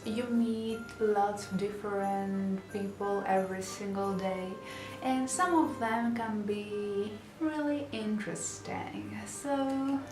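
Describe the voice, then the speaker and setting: A young woman with a Greek accent, chatting to camera in a casual vlog with many fillers and restarts. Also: medium pitch. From Greece. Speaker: vlogger. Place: small bedroom indoors. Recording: roomy echo, music behind. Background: background music.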